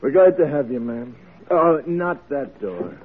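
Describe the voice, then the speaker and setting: An actor playing a stubborn, dismissive prison jailer in an old-time radio drama, with a brusque, colloquial way of talking. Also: male voice, medium pitch, sing-song, unhurried, breathy edge. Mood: folksy, obliging. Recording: muffled, noisy vintage radio recording.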